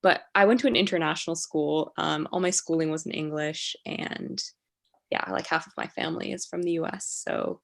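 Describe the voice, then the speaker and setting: Young woman, American accent, low pitched with a fried, gravelly edge; halting and casual, heard over a video call. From China. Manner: hesitant, many ums, laughs easily. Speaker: musician guest.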